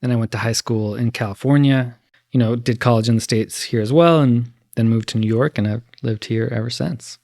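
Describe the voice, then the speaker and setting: Man, from Mexico, podcast guest, playful storyteller, medium pitch, dry sound. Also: fairly quick, gravelly, darkish tone, dry recording. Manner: playful storyteller, talks in bursts. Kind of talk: casual conversation.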